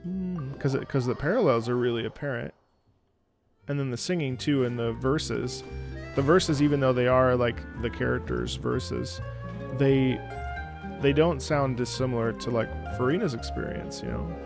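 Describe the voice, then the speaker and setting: A man's voice: mid-range voice, moderate pace, gravelly, over music. A man giving casual reaction commentary as a game streamer, thinking aloud in an informal, jokey way. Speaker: game streamer, former opera singer.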